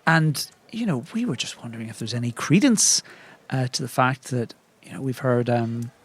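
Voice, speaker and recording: A man's voice: medium pitch, moderate pace, slightly rough. A man bantering as a player in an improvised comedy roleplay game, teasing and sometimes hesitant as he leads into questions.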